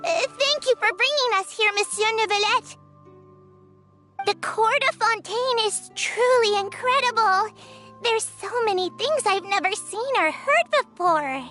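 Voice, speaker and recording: A woman's voice: extremely high pitch, measured pace, bright, forceful tone, soft background music, heavily acted. A woman voicing a small, earnest, grateful female village creature in an anime video game, speaking politely.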